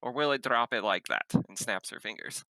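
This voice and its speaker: Man playing a blunt female agent, bright voice. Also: medium pitch, a little fast, no room sound, low-quality recording. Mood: insistent.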